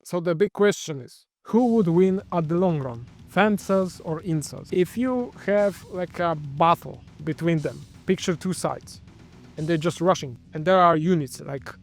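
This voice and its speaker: A man's voice: a little high, lively intonation, unhurried, soft background music. A man with a Polish accent hosting his own channel, speaking in a loose, wry commentary monologue that often trips and corrects itself. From Poland. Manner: rambling, self-correcting, wry. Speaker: channel host.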